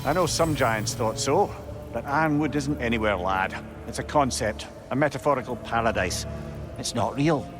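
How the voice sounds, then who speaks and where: A man's voice: medium pitch, normal speed, over music, lightly acted. A man with a Scottish accent playing a wry, learned old sage telling tales in a dramatic video game cutscene. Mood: cautioning. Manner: wry, expressive, storytelling.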